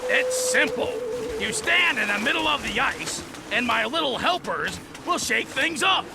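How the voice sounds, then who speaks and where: A man's voice: extremely high pitch, big pitch swings, measured pace, vocal fry, background noise, full character voice. A man voicing a big, goofy, boastful strongman coach in a cartoon game, pompously talking himself up. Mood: boastful instructing. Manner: pompous, speaks of himself in third person. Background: music.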